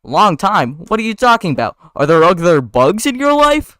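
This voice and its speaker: A man's voice: high-pitched, lively intonation, fairly bright tone, clean tone, no room sound, clean studio sound, pitched above the performer's own voice. A man playing a jealous, irate boyfriend with a strained, sarcastic, stammering delivery. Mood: exasperated. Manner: stammering, seething, drawn-out sarcasm.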